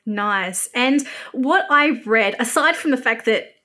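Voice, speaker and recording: A woman's voice: fairly high pitch, lively intonation, takes it slowly, sharp tone. An Australian woman working as a host, speaking with effusive enthusiasm as she introduces and interviews guests. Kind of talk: scripted introduction and friendly interview chat.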